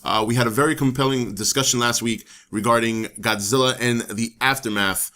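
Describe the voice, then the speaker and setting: A man hosting his own channel, talking casually to camera, a little hoarse from the flu, rambling with many fillers and restarts. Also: medium pitch, steady tone, fairly quick, bright, forceful tone. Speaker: channel host. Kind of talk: casual opinion talk to camera.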